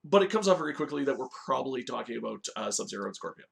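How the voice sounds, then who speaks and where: A man with a mid-pitched, creaky voice hosts a review in a casual, informal style. He talks conversationally, as if chatting to regular viewers, with a noticeable gravelly fry in his delivery. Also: big pitch swings, racing. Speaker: host of a video game movie review show. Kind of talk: casual review talk with story recap.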